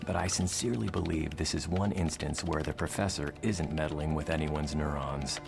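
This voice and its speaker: A bookish young mutant with a very low voice. He is gentle, trusting and articulate, in motion comic drama over clear background music. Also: normal speed. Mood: earnest.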